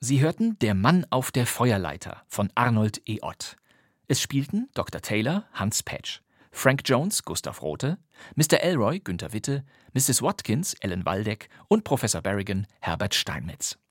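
A man's voice: on the low side, big pitch swings, unhurried, no echo, studio quality. A man presenting a radio drama podcast with scripted, theatrical announcer phrasing. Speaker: podcast host.

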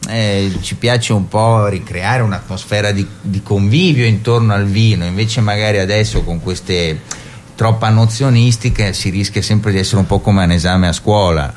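Really deep voiced guy on the radio, jokey asides, bit of hiss behind. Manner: joking, quick asides. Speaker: stage performer and guest. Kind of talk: casual radio chat. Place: radio studio.

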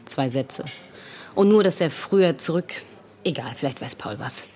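A woman's voice: low-pitched, expressive, moderate pace, mellow, soft background music. An actress playing an inquisitive, impatient teenage girl in a radio drama, asking probing questions curtly. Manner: probing, curt. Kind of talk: German radio drama dialogue.

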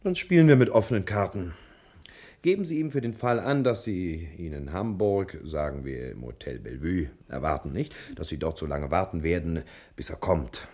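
A scheming, courteous detective in a 1960s radio crime play. His voice is low and mellow, with lively swoops as he lays out his plans with full self-assurance. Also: normal speed. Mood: conspiratorial, insistent. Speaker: actor in a radio crime drama. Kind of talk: 1960s German radio drama acting.

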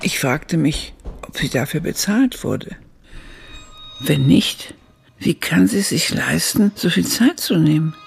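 An older woman acting as an elderly narrator who looks back on her youth in a radio drama, with a gravelly, husky voice and measured phrasing. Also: low voice, animated, soft background music, full character voice. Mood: reflective.

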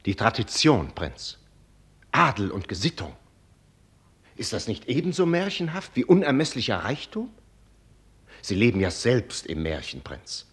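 An actor playing a witty, ironic doctor who mentors a prince, urbane and teasing, in a German radio play. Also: male voice, medium pitch, wildly swooping pitch, unhurried, pauses often, slightly rough, full character voice. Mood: teasing, poetic. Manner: urbane, teasing, theatrical with pointed hesitations.

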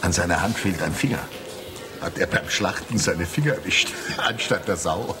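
Teasing tourist husband, low voice, wry jokes, music behind, radio play. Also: male voice, normal speed. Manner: wry, joking. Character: jocular, teasing male tourist with a wife. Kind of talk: German radio drama acting.

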